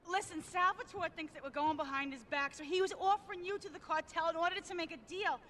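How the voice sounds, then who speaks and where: A woman's voice: extremely high pitch, fast, sharp tone, slight background noise. An actress playing an anxious, chatty mobster's girlfriend in a video game, rambling and melodramatic. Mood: agitated, pleading. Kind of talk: video game cutscene acting.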